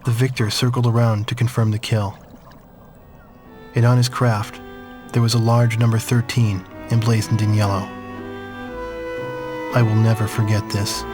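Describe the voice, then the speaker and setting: An actor playing a reflective man who recalls his wartime childhood in measured, somber game-story narration. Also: fairly low pitch, little pitch movement, natural voice. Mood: wistful, somber. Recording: music behind the voice. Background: prominent music.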